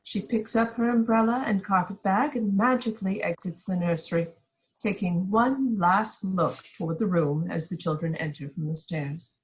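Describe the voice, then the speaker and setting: A woman's voice: mid-range voice, moderate pace, poor audio. A woman reading stage directions as narrator in an amateur script read-through.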